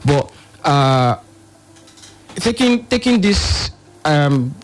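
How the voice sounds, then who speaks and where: A man's voice: fairly high pitch, very animated, very slow, faint music behind. A man with a Nigerian accent giving explanations as a radio guest, hesitant and often repeating phrases. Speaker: panel guest, monitoring and evaluation officer. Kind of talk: explanatory radio interview talk.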